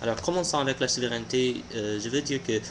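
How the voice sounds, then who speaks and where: A young American man, a native English speaker, giving an informal opinion monologue haltingly, searching for words. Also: medium pitch, noisy background. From United States. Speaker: video blogger.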